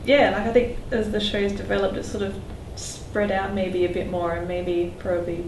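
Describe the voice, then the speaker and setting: A woman's voice: medium pitch, level tone, normal speed, a loud crowd. A woman interviewing casually, like a fan, in rambling sentences full of "like". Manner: rambling, frequent "like". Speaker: podcast panel interviewer. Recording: echoey, distant mic, clear background noise.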